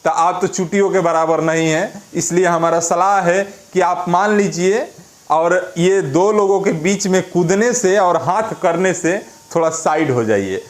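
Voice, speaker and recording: A man's voice: sits high, a little slow. A man with a Bihari accent giving informal, opinionated commentary as an online news presenter, colloquial and scolding, repeating phrases for emphasis.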